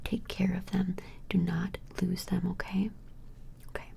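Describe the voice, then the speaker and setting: A woman whispering softly and gently in an ASMR personal-attention role play, hesitant and reassuring. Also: lowish voice, flat delivery, a little slow. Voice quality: soft breathy whisper with fry. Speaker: ASMR performer playing an appraiser taking measurements.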